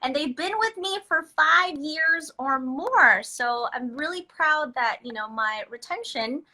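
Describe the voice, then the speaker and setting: Bright, high-voiced chatty woman from the Philippines. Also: expressive, measured pace, low-quality recording. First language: Filipino. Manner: chatty, laughs often, many restarts. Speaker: guest, beauty business owner. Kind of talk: casual interview chat.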